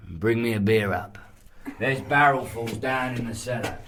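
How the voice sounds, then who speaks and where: An actor playing a coarse, lecherous, gluttonous castle guard with a sardonic, earthy delivery in an audio horror drama. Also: male voice, mid-range voice, measured pace, noisy background, natural voice. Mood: lecherous, bossy.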